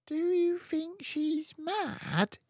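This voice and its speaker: A man's voice: very high-pitched, expressive, very slow, no echo, highs cut off, pitched above the performer's own voice, heavily acted. A man voicing an indignant, wide-eyed young schoolgirl in a storybook, with exaggerated, questioning delivery. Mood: curious.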